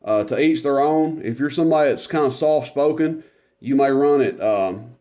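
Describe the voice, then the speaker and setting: A man with a naturally loud voice talks through a radio demo at his bench. His pitch sits in an ordinary middle range, and his delivery is relaxed and unscripted, with frequent pauses and filler words. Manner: relaxed, rambling, many fillers. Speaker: CB radio reviewer. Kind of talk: casual product demonstration.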